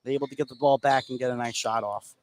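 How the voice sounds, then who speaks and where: An American man works as color commentator at a basketball game, offering short bits of analysis. His voice sits at an average pitch over faint gym noise. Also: steady tone, fast. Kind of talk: live sports analysis.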